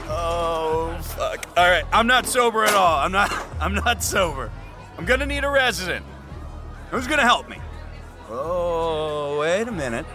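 A cocky, boozy young rowing captain in an audio drama. He is high-pitched and showy, swinging up and down as he holds court, and drags his words tauntingly. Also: heavily acted. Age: young adult. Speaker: team captain. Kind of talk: scripted audio drama dialogue. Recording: music behind the voice.

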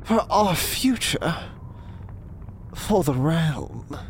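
A man's voice: a little high, expressive, drawn-out words, full character voice. A man playing a proud, oily mage suitor in a fantasy audio drama, formal and self-important. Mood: stiffly polite. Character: pompous, oily young mage suitor with touchy pride. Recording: faint background noise.

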